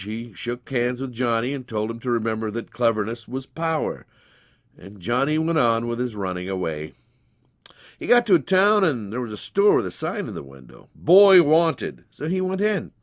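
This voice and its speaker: A man's voice: on the low side, a bit bright, dry recording. A man reading a story aloud as a dramatized storybook narration.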